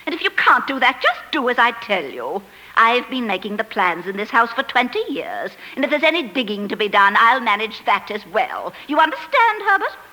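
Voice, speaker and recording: Sharp, bossy wife. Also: female voice, fairly high pitch, big pitch swings, full character voice, rough recording. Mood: bossy, impatient. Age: middle-aged. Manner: domineering, brisk, sarcastic. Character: bossy, scornful middle-aged English wife, nagging. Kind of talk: 1940s radio drama acting. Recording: old 1940s radio broadcast.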